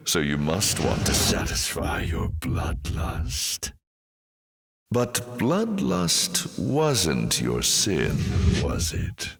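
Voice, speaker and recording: A man voicing a suave, taunting fallen angel demon in a video game, with a deep gravelly voice and a sly, sardonic drawl. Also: fairly bright tone, exaggerated voice. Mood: mocking accusation. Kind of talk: video game character voice lines. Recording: long cavernous reverb.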